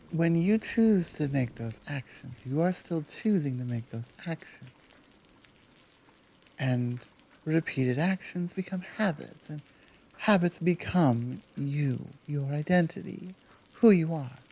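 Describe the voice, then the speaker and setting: A woman playing a gentle, self-assured Amazon rescuer in an intimate ASMR roleplay, speaking softly with deliberate pauses. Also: deep voice, slightly rough, exaggerated voice, poor audio. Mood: earnest, firm. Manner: soft, unhurried delivery with deliberate pauses. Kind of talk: intimate ASMR roleplay, one-sided conversation.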